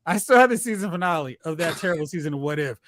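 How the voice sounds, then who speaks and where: A man co-hosting a casual pop culture chat, talking in an animated, opinionated way. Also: fairly high pitch, racing. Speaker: podcast co-host.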